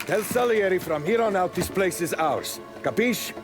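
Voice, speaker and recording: A menacing gangster thug in a crime game. His voice is slightly high for a man, with music behind it. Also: lively intonation, normal speed. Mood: threatening. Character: threatening male gangster thug. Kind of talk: cinematic crime game acting.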